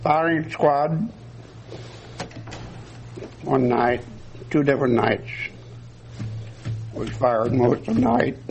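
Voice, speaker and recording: Older male veteran, gravelly and slow, halting recollection. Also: mid-range voice, big pitch swings, a little mellow, faint music behind, low-quality recording. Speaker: veteran honoree. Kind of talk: recalling memories. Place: indoor community gathering.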